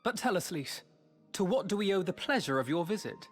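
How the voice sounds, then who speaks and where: Polite young diplomat, long reverb. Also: female voice, lowish voice, sing-song, a little fast. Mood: curious. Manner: polite, formal, inquiring. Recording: reverberant hall, faint music behind. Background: game music.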